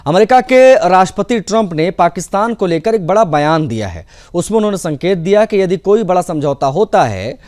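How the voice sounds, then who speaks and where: A man's voice: on the high side, a little mellow. A man presenting scripted news as narration in a formal, emphatic manner.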